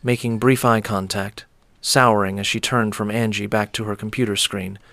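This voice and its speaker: A man's voice: fairly low pitch, level tone, normal speed, gravelly, a bit bright, no room sound. A man narrating a scripted short story as its storyteller.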